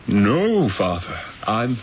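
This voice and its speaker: An actor playing a wily, eloquent Renaissance painter in a radio drama, speaking in a theatrical, persuasive, rhetorical way. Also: male voice, lowish voice, very animated, drawn-out words, a little hiss or hum, full character voice. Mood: smooth, persuasive. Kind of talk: old-time radio drama acting and first-person narration. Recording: dull lo-fi radio recording, music behind.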